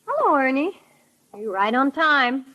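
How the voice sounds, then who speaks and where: A woman's voice: high voice, big pitch swings, unhurried, heavily acted. Lilting, kindly lady, muffled old radio. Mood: friendly, solicitous. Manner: solicitous, gently fussy. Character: kindly, sweet, fussing lady gardener with a dog. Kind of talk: 1950s radio drama acting. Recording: dull, noisy 1950s radio broadcast.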